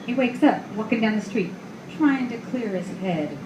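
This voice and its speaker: A woman's voice: fairly high pitch, lively intonation, normal speed, natural voice. A woman acting in a noir radio play, voicing its brooding storyteller and haunting side parts. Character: story voices: narrator, alluring ghostly woman. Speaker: actress playing narrator and side parts. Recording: dull, echoey live recording, faint noise.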